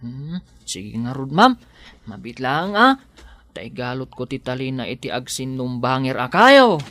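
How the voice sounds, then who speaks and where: A woman's voice: rumbling low, sing-song, unhurried, a bit bright, no room sound, full character voice. A woman playing a simple-minded, kindly village handyman in a radio comedy drama, naive and deferential, with an Ilocano accent. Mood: deferential, curious.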